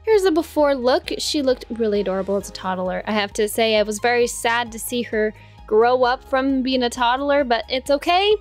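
A young woman hosts her gameplay channel in a bubbly, chatty way, talking straight to the characters on screen. Her voice sits a little high and sounds nasal and a bit rough from a cold. Soft background music plays under her talk. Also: normal speed. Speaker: gaming channel host. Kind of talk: casual gameplay commentary.

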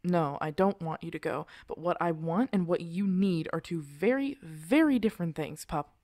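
A woman playing a guarded, protective lone werewolf in an intimate ASMR audio roleplay, earnest and halting. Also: lowish voice, warm tone, no echo, clean studio sound, lightly acted. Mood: frustrated, insistent. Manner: earnest, halting, weary sighs.

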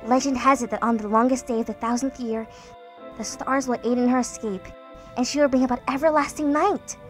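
Woman as a fretful, high-voiced bookish pony. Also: fairly even intonation, a little fast, faint music behind, lightly acted. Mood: worried. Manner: fretful and sarcastic. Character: bookish, anxious, exasperated young female unicorn pony. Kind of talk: cartoon fandub acting.

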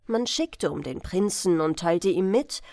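A woman narrating a bedtime fairy tale as an audiobook, measured and careful in her storytelling. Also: lowish voice, lively intonation, darkish tone, dry recording, studio quality.

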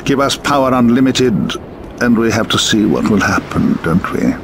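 An actor playing a weary, urbane old spymaster in a video game, musing theatrically and cryptically in drawn-out phrases. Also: male voice, medium pitch, animated, noisy background, close to an everyday voice. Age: older. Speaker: mysterious spymaster. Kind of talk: video game cutscene dialogue and narration.

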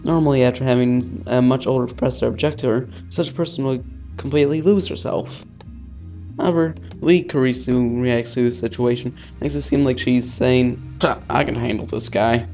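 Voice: medium pitch, moderate pace, mellow. Let's player, visual novel read-aloud, informal, stumbling over words, casual asides, music in the background. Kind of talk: reading game text aloud with casual asides.

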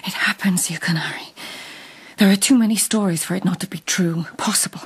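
An actress in a radio drama playing a devoted, anxious lady of the old Japanese court, with a breathy, urgent delivery. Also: fairly low pitch, a little fast. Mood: frightened, urgent. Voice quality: husky, breathy.

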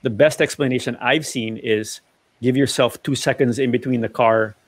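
A man's voice: medium pitch, fairly even intonation, moderate pace, no room sound. A middle-aged man in a casual panel discussion about driving, explaining at length and often restarting sentences, on a video call from a home study. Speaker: motoring panelist.

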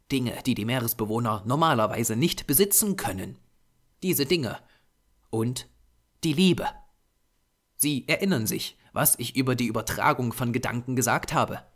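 A solemn, ominous man of dark lore as a male audiobook reader acts him out. He speaks in a measured but insistent way, with urgent warning in his tone. His mid-pitched voice rises and falls widely.

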